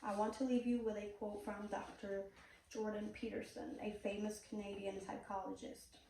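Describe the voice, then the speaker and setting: Puerto rican accented woman giving a speech, earnest, mellow tone, super echoey room and mic way off. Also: mid-range voice, level tone, normal speed, far from the mic, a little hiss or hum. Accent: Puerto Rican Spanish. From Puerto Rico. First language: Spanish. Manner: earnest, direct, occasional "um" fillers. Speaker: speaker giving a persuasive speech. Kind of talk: prepared persuasive speech.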